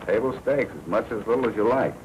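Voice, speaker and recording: An actor playing a sardonic, menacing American crime boss and jealous husband in a 1940s film noir. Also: male voice, lowish voice, expressive, quick pace, close to an everyday voice. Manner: sardonic, needling, tough slangy talk. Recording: noisy old 1940s film soundtrack.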